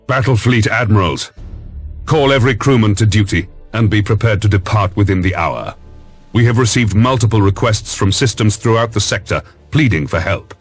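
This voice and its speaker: An actor playing a resolute lord admiral in a game cutscene, giving formal, measured, authoritative military briefings. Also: male voice, fairly low pitch, breathy edge. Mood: stern command. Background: faint music.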